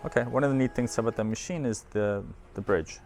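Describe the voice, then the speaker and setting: A man's voice: medium pitch, brisk, darkish tone, soft background music. A man presenting audio equipment in a casual hands-on demo, informal and thinking aloud as he handles the machine.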